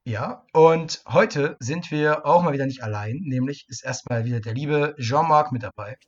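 A man's voice: mid-range voice, moderate pace. A man hosting a podcast in a casual way, searching for his words as he goes. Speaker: podcast host. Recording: muffled lo-fi mic with clear noise.

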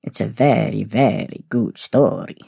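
A woman playing an old, chatty, genteel male vampire in an audio story, husky and creaky, with arch, exclamatory, deliberate phrasing. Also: a bass voice, mellow, no room sound, clean studio sound, exaggerated voice. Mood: theatrical, inviting. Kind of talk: acted audio story dialogue.

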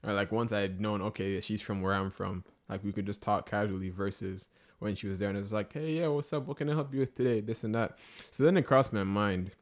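Laughing low-voiced man telling a story. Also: fast talker. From not Nigeria. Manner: animated storyteller, acts out dialogue, laughs often. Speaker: podcast host. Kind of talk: casual chat among friends.

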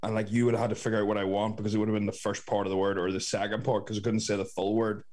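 A man with a Northern Irish accent in casual podcast chat, telling anecdotes in an animated, sweary way. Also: on the low side, racing. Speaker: podcast host.

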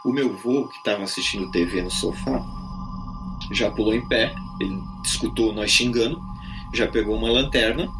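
A man's voice: fairly low pitch, moderate pace, rough recording. A Brazilian man telling a personal story in a casual, colloquial way, as a podcast guest. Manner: colloquial, acts out his own quoted reactions. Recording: music playing behind the voice. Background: music.

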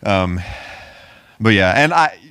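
Low-pitched man chatting casually. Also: expressive, slow pace, bright, forceful tone, clean tone, dry recording. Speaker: podcast co-host. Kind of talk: casual chat about movies.

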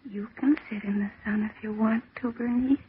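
An actress in an old-time radio drama playing a dazed woman retreating into a serene delusion, speaking in a dreamy, halting, childlike way. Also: on the high side, fairly even intonation, a little hiss or hum, lightly acted. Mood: dreamy, soothing. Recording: muffled, tinny old radio recording.

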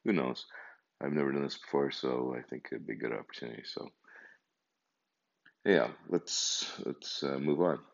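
A man's voice: extremely low pitch, normal speed, creaky, pauses often. A man in his fifties hosting his own podcast, talking in a meandering, conversational way. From Canada. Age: 50s. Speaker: podcast host. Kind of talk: casual podcast monologue. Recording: dull lo-fi recording.

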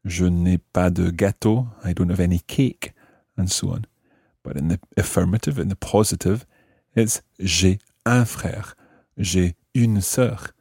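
A man's voice: deep voice, measured pace, gravelly, darkish tone, slightly rough, no room sound, clean studio sound. A man hosting a language lesson, explaining grammar methodically and repeating phrases as he teaches. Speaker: language teacher and podcast host.

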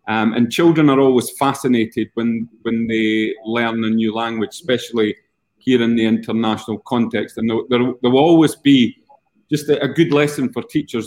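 A middle-aged man with a Scottish accent, chatting as an executive guest in a casual, wry manner. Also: medium pitch. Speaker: guest, education company chief executive. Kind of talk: casual interview chat.

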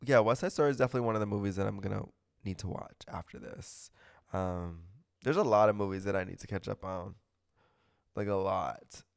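A man's voice: fairly low pitch, brisk, creaky, clear, resonant tone. A man hosting a podcast in a casual, gossipy chat, talking with a stuffy nose and gushing, opinionated comments. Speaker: podcast host.

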